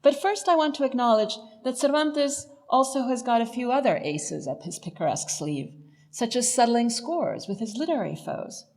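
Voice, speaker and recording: Animated, high-voiced woman lecturer, wry. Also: moderate pace. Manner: wry, articulate, emphatic. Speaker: professor, lecturer. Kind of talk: scripted lecture with dry humour. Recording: long hall reverb, faint music behind.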